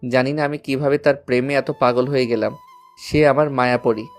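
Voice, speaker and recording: A man's voice: mid-range voice, steady tone, brisk, close to an everyday voice. A man plays a devoted schoolboy in an audio love story. He is earnest and sentimental, with little rise and fall in his voice. Faint music plays behind him. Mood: lovesick. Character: lovesick, devoted schoolboy, respectful to elders. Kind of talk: Bengali audio story acting.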